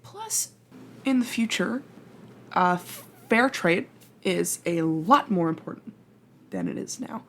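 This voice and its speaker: Casting: actress as apologetic female time traveler for ASMR roleplay; creaky, husky, hesitant, rambling and self-deprecating. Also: lowish voice, animated, takes it slowly, pauses often, fairly bright tone, heavily acted. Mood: earnest, bashful. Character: flustered, apologetic young woman time traveler from the future. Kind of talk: ASMR roleplay acting, intimate and conversational.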